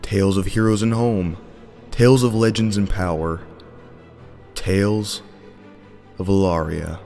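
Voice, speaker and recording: A man narrating a scripted fantasy audio drama as a theatrical, deliberate storyteller. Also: low voice, fairly even intonation, dark tone, soft background music.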